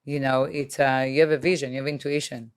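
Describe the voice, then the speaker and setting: Israeli lady guest on a podcast, smooth clear voice, upbeat and salesy. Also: extremely low pitch, fairly quick. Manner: energetic, promotional, upbeat. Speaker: entrepreneur guest. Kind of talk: casual interview chat.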